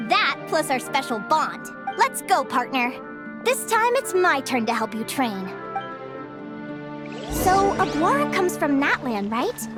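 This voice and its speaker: Very high, perky girl adventurer. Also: big pitch swings, takes it slowly, full character voice. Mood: playful, confident. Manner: earnest, perky and emphatic. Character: cheerful, determined young girl adventurer with animal partner. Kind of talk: animated video game voice acting. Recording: music behind the voice. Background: game music.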